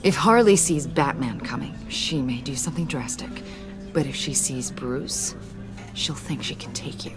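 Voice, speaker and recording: An actress playing an earnest, conflicted government agent in a video game, speaking in a measured, candid and slightly formal way. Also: female voice, rumbling low, animated, music in the background. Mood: thoughtful, guarded. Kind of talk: naturalistic video game dialogue.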